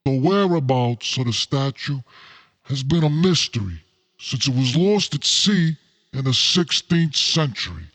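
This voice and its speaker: A man's voice: mid-range voice, expressive, measured pace, a bit bright. A man acting a suave, scheming noir crime boss. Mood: smug, confiding. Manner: genteel, formal, faintly menacing. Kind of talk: noir radio-play acting.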